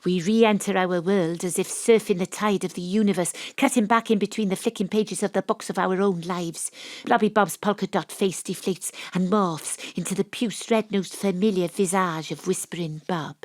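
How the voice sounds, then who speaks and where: A woman acting in an audio drama as a shrewd, theatrical amateur detective who narrates and probes deliberately. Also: medium pitch, no room sound, lightly acted. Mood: confiding. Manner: deliberate, probing, storytelling. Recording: dry, close home-studio recording.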